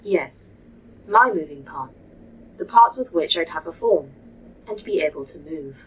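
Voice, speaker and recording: A woman's voice: low-pitched, lively intonation, unhurried, breathy edge, full character voice, rough recording. A woman voicing a proud, dry-witted, condescending artificial intelligence in a sci-fi audio drama. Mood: resentful. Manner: precise, sardonic, condescending. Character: proud, dry-witted A.I. running a secret facility.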